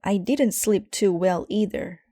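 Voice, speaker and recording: A woman voicing a young, anxious and excitable boy bear cub in a storybook, exclaiming urgently. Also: mid-range voice, unhurried, dry recording, studio quality, voice pushed up, natural voice. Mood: worried.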